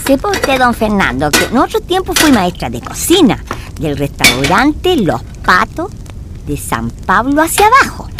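A woman's voice: medium pitch, huge pitch swings, a little slow. A woman playing a bossy, motherly, folksy Chilean neighbour in a radio drama. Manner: folksy, scolding, motherly, uses endearments. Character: chatty bossy motherly neighbour woman, former cooking teacher. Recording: noisy, clinking kitchen sounds.

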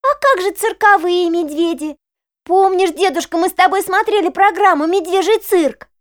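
A woman's voice: extremely high pitch, moderate pace. A woman playing a curious, eager little granddaughter in a children's audio play, talking in a childlike, inquisitive way.

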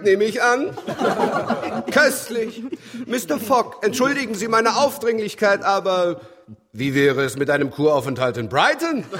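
An actor playing a pompous, haughty English academy gentleman in a German radio drama, condescending and theatrical. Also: sits high, full character voice. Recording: hall-like long reverb.

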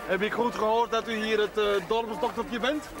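A man's voice: sits high, fairly even intonation, moderate pace, natural voice. An actor playing a brash, teasing village man in a television drama, with colloquial bantering speech. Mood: cocksure.

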